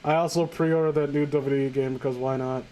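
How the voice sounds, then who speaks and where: A man's voice: mid-range voice, fairly even intonation, fast, mellow. A man hosting a comedic reading show, chatting casually with sarcastic, crude banter. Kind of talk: casual joking group commentary and read-aloud.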